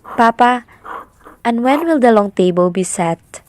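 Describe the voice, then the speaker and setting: A woman's voice: fairly high pitch, lively intonation, a little slow, no echo. A woman acting as a curious grown daughter talking with her father in an amateur radio drama.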